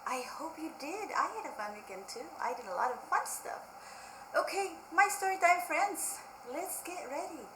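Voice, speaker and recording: A woman's voice: high-pitched, animated, moderate pace, noisy background. A woman hosting a storytime for young children and reading aloud to them with simple, repetitive prompting. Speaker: library storytime host. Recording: roomy echo with faint music.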